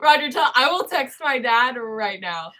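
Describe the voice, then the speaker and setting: A woman's voice: a little high, expressive, measured pace, sharp tone. A woman chatting playfully with her sister on a livestream, laughing often. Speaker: livestream co-host. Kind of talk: casual livestream chat with a sibling.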